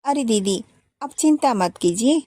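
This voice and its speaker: A woman's voice: a little high, lively intonation, unhurried, warm tone, a little hiss or hum. A woman voicing a clever, cheeky young daughter-in-law who coaxes and schemes in a storybook tale. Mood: scheming. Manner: eager, coaxing.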